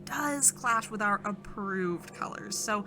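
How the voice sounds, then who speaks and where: A woman's voice: medium pitch, takes it slowly, background noise, natural voice. A woman playing a falsely cheerful, patronizing corporate manager in an audio drama, speaking in scripted polite phrases. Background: music.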